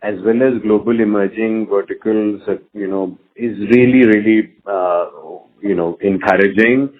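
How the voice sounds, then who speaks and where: Male company executive, measured and hesitant, narrow telephone-conference audio. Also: fairly low pitch, steady tone. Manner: measured, hesitant, corporate phrasing. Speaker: company executive answering investor questions. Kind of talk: earnings call answers. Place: conference call. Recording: thin band-limited conference phone line.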